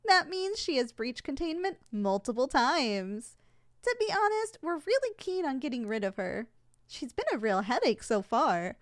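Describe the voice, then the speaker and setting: Female actor as polite, unflappable saleswoman for an audio roleplay; high, very animated voice, formal sales patter. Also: normal speed, no room sound, clean studio sound. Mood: polite, salesy. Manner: formal, courteous, matter-of-fact about danger. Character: polite, unflappable saleswoman giving a yandere shop tour.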